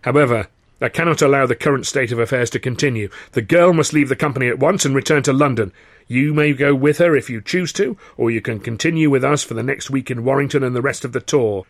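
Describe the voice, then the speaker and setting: A man's voice: mid-range voice, quick pace, pitched above the performer's own voice, lightly acted. A man voicing a stern, pompous music-hall boss in a story, formal, clipped and commanding. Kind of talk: audiobook character voice.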